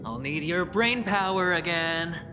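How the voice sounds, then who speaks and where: A man's voice: fairly high pitch, lively intonation, unhurried, noisy background. A man voicing a smug, playful young schemer in a video game cutscene, teasing and amused with himself. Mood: musing, playful. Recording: music behind the voice.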